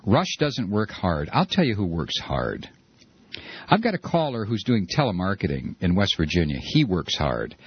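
Sarcastic talk radio host, husky voice. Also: male voice, low-pitched, big pitch swings, moderate pace, no room sound, dull audio. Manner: sarcastic, mocking, loose and joking; builds to a repeated rant. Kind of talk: political call-in radio. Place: radio studio.

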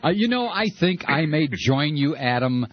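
A male radio host in a dry studio, his voice slightly husky and swinging widely in pitch as he mocks and jokes. The broadcast sounds a bit muffled. He stacks up sarcastic asides and works himself into repeated rants. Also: big pitch swings, normal speed, no room sound. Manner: sarcastic, mocking, loose and joking; builds to a repeated rant. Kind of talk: political call-in radio.

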